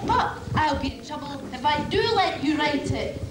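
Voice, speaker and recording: A woman plays a timid pantomime maid, high-voiced, earnest and deferential. She is heard from a distance in an echoey, noisy hall. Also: expressive, normal speed. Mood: anxious. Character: timid, anxious servant girl to a princess. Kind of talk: amateur pantomime stage acting. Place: on stage in a high school hall.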